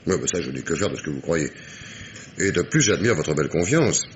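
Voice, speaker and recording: An actor playing a sardonic, dogged police inspector in a French radio mystery play, with ironic, emphatic diction. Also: male voice, very deep voice, lively intonation, brisk, muffled recording, a little hiss or hum. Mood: sardonic, exasperated.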